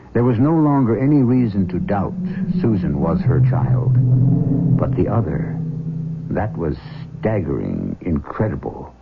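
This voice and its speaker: A man hosting and narrating a scripted radio drama with measured, suspenseful storytelling. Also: deep voice, creaky. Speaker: host and narrator. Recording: tinny vintage radio broadcast, music underneath.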